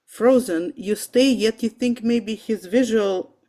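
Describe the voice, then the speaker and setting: A woman's voice: on the high side, slow pace, mellow, pitched above the performer's own voice. A woman reading the part of a wry, guarded male paleontologist in an amateur table read of a film script, dryly and with some stumbles. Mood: menacing lecture.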